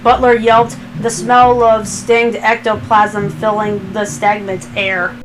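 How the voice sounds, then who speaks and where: A woman's voice: medium pitch, little pitch movement, slow, music in the background, rough recording. A woman reading fan fiction aloud as a narrator, in a scripted storytelling style.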